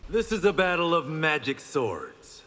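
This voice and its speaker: A resolute swordsman hero from a fighting game. His voice is bright and forceful with lively intonation, dry and confident, in a long reverb. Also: a little high, unhurried, exaggerated voice. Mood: wry, confident. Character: Kenshi, resolute, wry blind swordsman. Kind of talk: fighting game character banter. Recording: long reverb, faint background noise.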